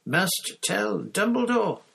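A man voicing a deranged older official who rambles in halting, urgent bursts, louder and higher than his own voice. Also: big pitch swings, vocal fry, slightly rough, heavily acted. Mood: desperate.

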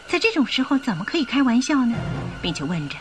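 A woman narrating a Chinese radio drama in a scripted, expressive storytelling style. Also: on the high side, quick pace. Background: music.

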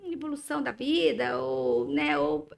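A woman's voice: sits high, sing-song, unhurried, vocal fry. A woman dermatologist with a mixed Brazilian Portuguese accent, chatting on a talk show and often hesitating with fillers. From Minas Gerais, Brazil. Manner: hesitant, many fillers and restarts. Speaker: dermatologist guest. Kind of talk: casual talk-show chat.

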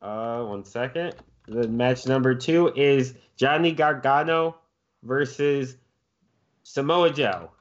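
A man's voice: medium pitch, takes it slowly, highs cut off. A man co-hosting a casual podcast chat, chiming in with facts and reading out the matches.

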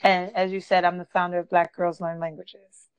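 A woman's voice: fairly low pitch, little pitch movement, normal speed, clear, resonant tone, no echo. Chatty New woman, lo-fi sound. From Brooklyn, New York. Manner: chatty, laughs often, frequent "like" and "um". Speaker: guest, blogger. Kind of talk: casual podcast interview. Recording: dull, dry lo-fi sound.

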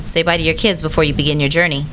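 An actress as a teasing but dependable sister in a radio drama. She talks quickly in a lowish woman's voice, with faint rainy noise behind her. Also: flat delivery, close to an everyday voice. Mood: teasing, reassuring. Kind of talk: casual radio play dialogue.

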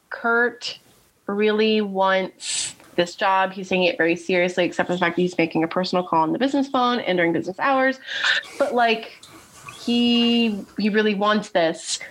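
A woman's voice: fairly high pitch, slight background noise, poor audio. A woman co-hosting a podcast, chatting casually as she recaps a TV show. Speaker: podcast co-host.

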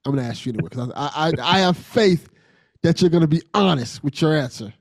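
A man's voice: on the high side, animated, fast talker, no echo, clean studio sound. An American man hosting a podcast in a casual, conversational style with a jokey, informal manner. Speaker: podcast host.

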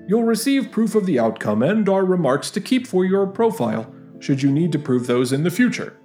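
A man's voice: sits high, sing-song, normal speed. A man playing a formal, courteous, businesslike job interviewer in a scripted audio drama. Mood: polite, businesslike. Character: polite, businesslike male corporate job interviewer. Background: quiet music.

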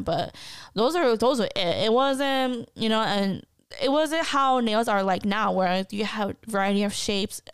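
Chatty woman in her thirties, smooth voice. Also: medium pitch, no room sound, studio quality. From United States. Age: 30s. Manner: chatty, candid, laughs often, addresses listeners directly. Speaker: podcast host. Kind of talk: casual personal podcast monologue.

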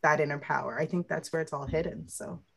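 A young adult woman with a lower voice, a guest speaking reflectively in a casual conversation and talking in imagery. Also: steady tone, fast, gravelly, fairly bright tone, breathy edge.

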